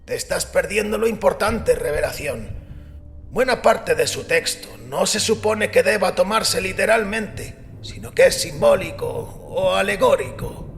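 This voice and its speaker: Man acting a gravelly, devout priest. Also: high voice, a little slow, a little hiss or hum. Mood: earnest defence. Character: devout male priest, defensive, earnest, indignant defender of his faith. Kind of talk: dramatized audiobook acting. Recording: long reverberant hall with music behind. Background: music.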